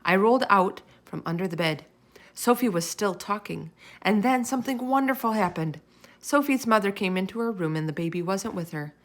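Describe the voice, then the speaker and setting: A woman reading a children's chapter book aloud in expressive narration. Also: mid-range voice, normal speed. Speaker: storybook reader.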